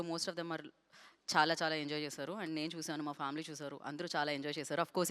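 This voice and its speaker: A woman's voice: fairly low pitch, clean tone. A woman with a Telugu accent hosting an event informally, switching often between Telugu and English. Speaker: event host.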